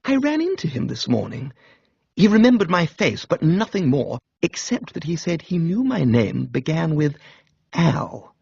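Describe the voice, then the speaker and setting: Excitable posh English young gentleman, a man acting, slangy, chatty, swooping pitch and husky edge. Comic radio. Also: a little slow, dry recording, lo-fi sound, full character voice. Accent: upper-class English. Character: cheerful, dim, excitable young upper-class English gentleman.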